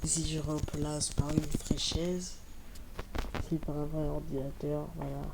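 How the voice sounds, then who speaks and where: Slow low-voiced woman with a cold. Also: level tone, vocal fry, very noisy. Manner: slow, repeats words while building. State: has a cold, stuffy voice. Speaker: Minecraft YouTuber. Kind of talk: casual gameplay commentary.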